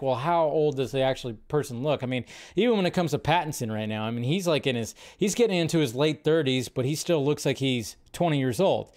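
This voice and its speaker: Higher-voiced man rambling casually. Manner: repeats his phrases, thinking aloud. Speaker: host of a live entertainment news show.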